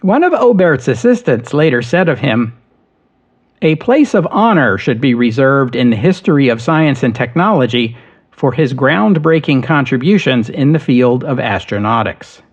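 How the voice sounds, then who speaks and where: A man tells history in a measured, steady way, reading from a prepared script at a middling pitch. The recording sounds slightly dull and lo-fi. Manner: measured, steady storytelling delivery. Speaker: history podcast host.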